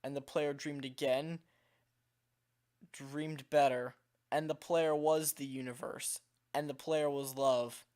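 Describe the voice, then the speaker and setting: A man with a slightly hoarse, worn-out voice, reading a game text aloud in a calm, measured way. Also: a little high. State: slightly hoarse, voice nearly gone. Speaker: video creator.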